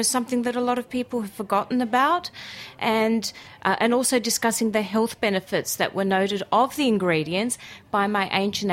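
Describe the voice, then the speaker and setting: A woman with an Australian accent whose first language is Greek, telling her story enthusiastically as a guest in a casual interview. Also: medium pitch. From Greece and Australia. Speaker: radio interview guest, cookery teacher and food writer.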